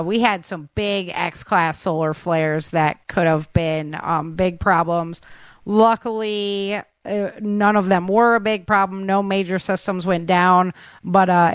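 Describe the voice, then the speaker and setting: A woman's voice: lowish voice, fairly even intonation, a little slow, smooth, dry recording. A woman hosting a talk show, running through news updates in a chatty, informal way. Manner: chatty, informal, addresses listeners as guys. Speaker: show host.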